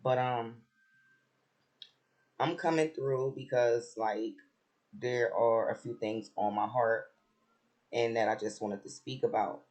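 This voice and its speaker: A man with a raspy voice who has just woken up, chatting casually about a TV episode with lots of fillers and restarts. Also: mid-range voice. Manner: relaxed, rambling, many fillers and restarts. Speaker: reality TV commentator.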